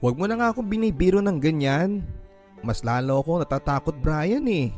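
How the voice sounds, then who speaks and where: A frightened young college woman played by a man in a radio drama. The pitch is lifted well up and the delivery is nervous and pleading. Music plays behind the lines. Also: animated, a little fast, a little mellow, close to an everyday voice. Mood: scared, scolding. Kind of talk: Tagalog radio drama acting. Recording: background music.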